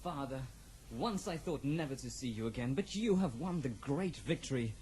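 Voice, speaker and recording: A man's voice: mid-range voice, expressive, normal speed, a little mellow, husky, rough recording. A man playing an earnest, devoted young prince, humble but resolute, speaking formally in a fantasy audio drama. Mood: humble, moved.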